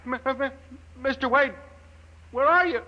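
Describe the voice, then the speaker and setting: A man's voice: extremely high pitch, moderate pace, highs cut off. An actor playing a terrified, trapped middle-aged man in a 1950s radio drama, stammering and gasping as he pleads. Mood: bewildered fear. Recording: vintage 1950 radio, dramatic music behind.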